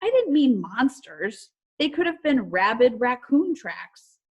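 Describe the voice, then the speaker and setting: A woman voicing eager, quirky young twins from a children's storybook, in a bright, enthusiastic, theatrical way. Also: fairly high pitch, very animated, takes it slowly, breathy edge, dull audio, voice pushed up, exaggerated voice.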